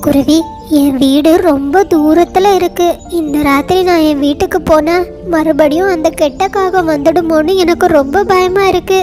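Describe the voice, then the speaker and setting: A woman's voice: very high-pitched, fairly even intonation, normal speed, a little mellow, faint music behind. A woman doing a panicky begging crow. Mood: pleading. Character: sly crow pretending to be scared.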